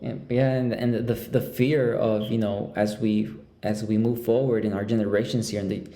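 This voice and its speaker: A young man with a low, gravelly voice and a mellow tone, hosting a relaxed conversation. He talks in halting bursts, restarting his sentences, and the room rings with echo. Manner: halting, many restarts and fillers. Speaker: podcast host. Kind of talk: casual conversational interview.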